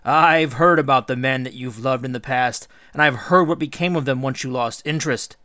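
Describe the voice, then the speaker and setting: A man's voice: mid-range voice, moderate pace, pushed and bright, no echo, clean studio sound, natural voice. A man voicing a bold, scornful hero king in a storybook myth, taunting with sardonic questions.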